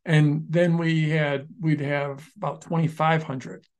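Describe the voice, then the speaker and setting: A man with a slightly raised voice co-hosts a casual podcast conversation. He tells stories animatedly, speeding up and slowing down and restarting sentences as he goes. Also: a little high. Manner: animated storyteller, restarts sentences, shifts pace often. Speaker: podcast co-host, online business founder.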